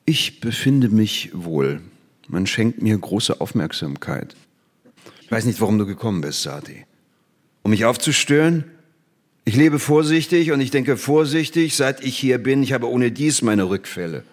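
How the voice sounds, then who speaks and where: An actor playing an old, half-blind captive scientist in a stage drama, speaking in deliberate, ironic, measured phrases. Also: male voice, medium pitch, sing-song. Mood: guarded, wry.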